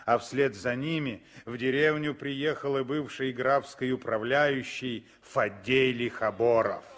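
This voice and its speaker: A man's voice: medium pitch, measured pace, a bit bright. A man narrating a story in the scripted style of 1960s Soviet radio drama.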